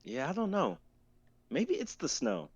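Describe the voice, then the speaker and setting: A man's voice: high-pitched, wildly swooping pitch, measured pace, slightly rough, voice pushed up, exaggerated voice. A man reading the part of a casual, jet-lagged young traveler in a script reading. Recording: dull lo-fi mic.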